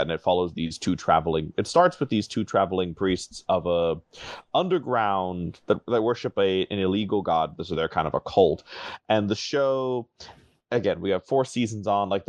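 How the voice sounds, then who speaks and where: Low-voiced man chatting on a podcast. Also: no echo. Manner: rambling, enthusiastic. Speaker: podcast panelist. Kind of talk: casual chat recommendations.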